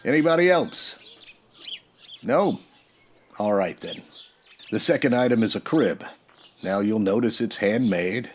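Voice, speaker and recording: An American actor playing a steady, dryly defiant Old West marshal in a radio western, with a folksy, laconic delivery. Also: male voice, on the low side, a little slow, pauses often, slight background noise.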